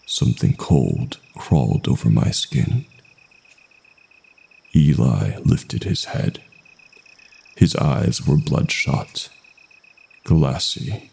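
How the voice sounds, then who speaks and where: A man with an extremely low voice narrates a horror tale at a slow pace. His delivery is dramatic and deliberate, with pauses that let each short phrase land. The tone is gravelly with fry at the edges. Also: expressive, dark tone. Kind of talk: scripted horror narration, first person.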